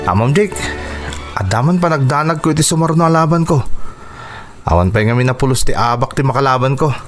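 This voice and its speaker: A man plays a boxer in a radio drama, earnest and determined with some worry underneath. His pitch is average for a man. He delivers his lines in a measured, dramatic way. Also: lively intonation, over music, close to an everyday voice. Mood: worried. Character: earnest male boxer, determined yet anxious before a fight. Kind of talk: radio drama dialogue in Ilocano.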